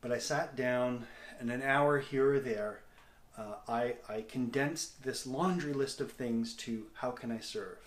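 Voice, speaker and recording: A middle-aged man with a Canadian accent talking casually to a video diary in a candid, self-deprecating, halting way. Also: medium pitch. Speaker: comedic actor and video diary host. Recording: echoey, roomy sound.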